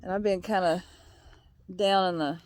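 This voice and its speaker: An older woman, getting over a bronchial illness, chatting casually and haltingly in a folksy, unhurried way as a vlog co-host outdoors. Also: fairly low pitch, fairly even intonation, darkish tone, rough recording. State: recovering from a bronchial illness, voice slightly low. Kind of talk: casual chat update. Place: outdoors on a hilltop.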